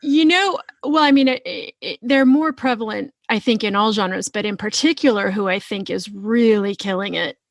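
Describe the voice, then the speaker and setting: A woman's voice: medium pitch, moderate pace, few pauses, dry recording. Frank woman host, very dry close sound. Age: middle-aged. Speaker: podcast host. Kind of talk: casual, informal interview chat.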